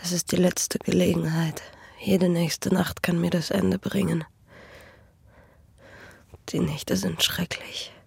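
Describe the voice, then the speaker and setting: A woman's voice: on the low side, level tone, slow pace, vocal fry, long pauses, a little mellow, slightly rough, no echo, exaggerated voice. An actress playing a dying young peasant woman in a radio play, sorrowful and bitter, with a weak, whispery voice and solemn delivery. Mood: weak, fearful. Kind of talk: German radio play acting.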